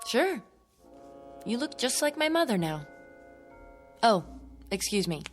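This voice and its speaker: A woman's voice: on the high side, very animated, slow, faint music behind. An actress playing a troubled, stubborn eighteen-year-old young woman in a radio drama. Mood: guarded.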